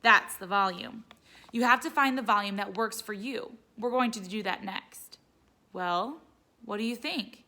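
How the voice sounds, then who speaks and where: A woman's voice: mid-range voice, unhurried, sharp tone. A woman voicing a friendly, reassuring male ear doctor in a storybook, upbeat and chummy.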